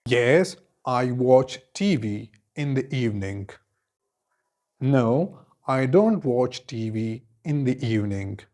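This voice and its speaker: A man, teaching an English lesson and giving clear, deliberate model answers, each repeated in several forms. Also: medium pitch, expressive, darkish tone. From Khyber Pakhtunkhwa, Pakistan. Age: 20s.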